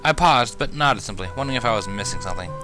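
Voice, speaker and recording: A man's voice: lowish voice, expressive, rapid-fire, over music. A man reading fan fiction aloud as scripted first-person story narration.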